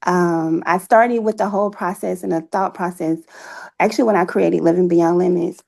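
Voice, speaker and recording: A woman speaking as an interview guest, effusive and quick to laugh in casual conversation. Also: fairly low pitch, steady tone, gravelly, darkish tone. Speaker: interview guest, author and playwright.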